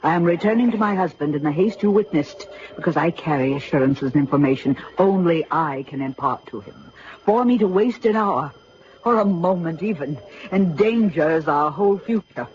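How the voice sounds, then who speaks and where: Radio melodrama: woman playing elderly aristocratic mother, imperious, formal, theatrical, muffled sound with clear noise. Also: fairly low pitch, expressive, normal speed, a little mellow, lightly acted. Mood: urgent, secretive. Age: older. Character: urgent, imperious elderly noblewoman, French-speaking mother. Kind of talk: melodramatic radio drama acting. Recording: muffled, noisy vintage radio recording.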